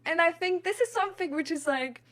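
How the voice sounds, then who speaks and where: A woman's voice: very high voice, brisk, fairly bright tone. A woman in casual, rambling chat, thinking aloud in an informal way.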